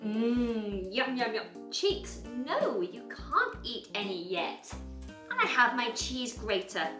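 A woman's voice: on the high side, very animated, takes it slowly, music in the background. A young woman presenting a playful children's cooking show in a home kitchen, bubbly and sing-song. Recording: echoey kitchen.

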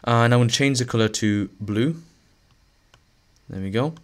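A male teacher narrating a screen-recorded lesson, a little hoarse as he is losing his voice, talking through each step with fillers and restarts. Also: mid-range voice, moderate pace. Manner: relaxed, thinking aloud with fillers and restarts.

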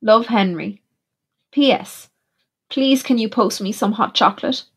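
A woman's voice: fairly high pitch, unhurried, husky. A woman voicing a small, glum male raccoon who grumbles plaintively in a children's storybook. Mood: miserable, pleading. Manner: complaining, plaintive.

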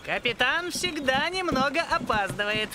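A woman voicing a cocky, cheerful young boy who fancies himself a pirate in an animated film. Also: high-pitched, normal speed, noisy background. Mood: boastful. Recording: loud music behind the voice.

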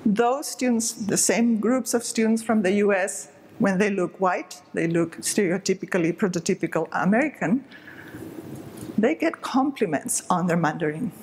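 A woman's voice: mid-range voice, expressive, moderate pace, darkish tone, a little hiss or hum, low-quality recording. A middle-aged woman from southern Spain, giving an explanatory, emphatic stage talk to an audience. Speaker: speaker. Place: dark stage with curtain.

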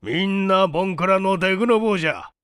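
A man voicing a crotchety old professor who scolds gruffly in old-fashioned elderly speech in an audiobook story. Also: high-pitched, a little fast, fairly bright tone, dry recording, studio quality, voice pushed up. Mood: irritable, scolding.

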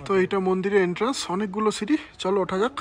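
A man's voice: sits high, very fast. A man chatting casually to viewers in a travel vlog, giving tips as he goes. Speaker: travel vlogger. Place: car and outdoor sightseeing spots. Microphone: phone held by the speaker. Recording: phone recording with loud road and outdoor noise.

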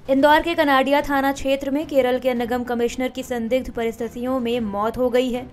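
A woman reading scripted news bulletins as anchor, in a formal, steady newsreader delivery. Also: sits high, a little fast.

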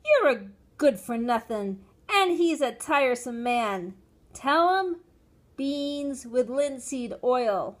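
A woman voicing a loud, exasperated mother scolding her young son in a storybook reading. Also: high-pitched, big pitch swings, slow pace, pitched above the performer's own voice, full character voice. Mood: impatient instructing.

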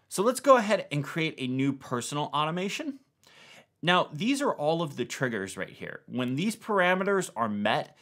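A man in his thirties presents a tutorial in a casual, explanatory way. His voice sits at a medium pitch and moves up and down animatedly as he guides the viewer step by step. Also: pushed and bright. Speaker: tech video host. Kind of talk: explanatory tutorial narration to camera.

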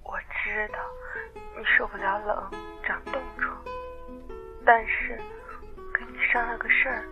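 A woman's voice: fairly high pitch, steady tone, unhurried, dull audio, music in the background, close to an everyday voice. An actress playing a middle-aged mother in an audio drama, homely, tentative and coaxing. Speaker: voice actor.

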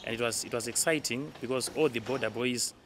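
Middle-aged male hostel master, mid-pitched, interviewed outside with clear background noise. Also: fairly quick. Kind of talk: interview answer. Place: outdoors by a brick school building.

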